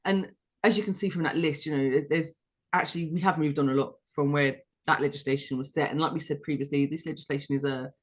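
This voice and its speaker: A British woman co-hosting a podcast, explaining her views in a measured, reflective way and building her points step by step. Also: low voice, fairly even intonation, slightly rough. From United Kingdom. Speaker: podcast co-host.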